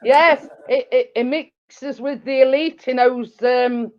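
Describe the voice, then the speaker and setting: A woman chats slowly with friends, her voice sitting a little high. She laughs often and trips over her words. The sound is dull and lo-fi. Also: steady tone. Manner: giggly, laughs until tearful, stumbles over words. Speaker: host. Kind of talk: casual chat with friends.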